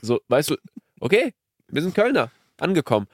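A man's voice: on the high side, big pitch swings, fairly quick, no echo. Young man in casual, dry-sounding podcast chat. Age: early twenties. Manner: youthful slangy German with English loanwords. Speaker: podcast co-host.

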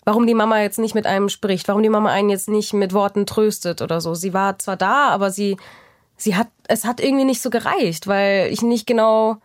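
A woman's voice: mid-range voice, steady tone, a little fast, no echo, studio quality. A young adult woman speaking as an interview guest, reflective and hesitant, often restarting her sentences. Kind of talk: candid personal conversation.

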